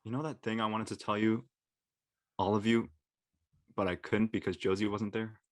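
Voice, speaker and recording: A man playing an earnest, nervous high school senior with a casual, slangy, hesitant delivery in an audio play. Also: flat delivery, moderate pace, no echo, studio quality, close to an everyday voice. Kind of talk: naturalistic dialogue in a live-streamed audio play.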